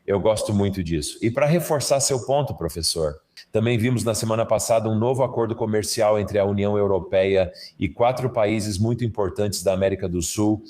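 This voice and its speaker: A man with a fairly low voice hosts an interview. He responds agreeably and lays out his question step by step. The delivery sounds like a dubbed conversation. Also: fairly quick, a little mellow. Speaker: host. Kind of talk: interview questions, Portuguese dub.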